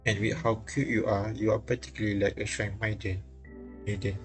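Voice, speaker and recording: A man reading visual novel dialogue and narration aloud on a live stream, halting and repeating lines as he goes. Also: lowish voice, little pitch movement. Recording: music playing behind the voice. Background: game music.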